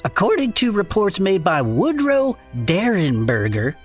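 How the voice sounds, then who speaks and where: A performer playing a folksy, excitable male podcaster in a comedic audio drama, reading strange reports aloud with incredulous asides. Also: mid-range voice, a little slow, faint music behind. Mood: amused, incredulous. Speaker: co-host reading case reports.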